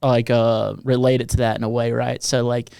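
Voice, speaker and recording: A man's voice: mid-range voice, little pitch movement, normal speed, vocal fry, dark tone, clean tone, dry recording, studio quality. A man with a Southern US accent hosting a casual podcast, laid-back and swearing freely, with lots of fillers. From American South. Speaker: podcast host and touring musician.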